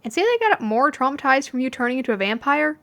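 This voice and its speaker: Woman as a young male detective, anxious, soft-hearted, audiobook fan fiction character. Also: sits high, big pitch swings, fast talker, pitched above the performer's own voice, exaggerated voice. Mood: worried, questioning.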